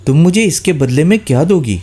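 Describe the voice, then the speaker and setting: A man's voice: on the high side, lively intonation, dark tone, voice pushed up. A man voicing a blacksmith who bargains in a children's story. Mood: bargaining. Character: bargaining male village blacksmith.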